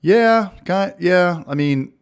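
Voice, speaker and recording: A man's voice: sits high, lively intonation, slow, clean tone. A man in his late thirties co-hosting a casual beer livestream, rambling and chatty as he greets the chat. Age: 30s. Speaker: livestream co-host.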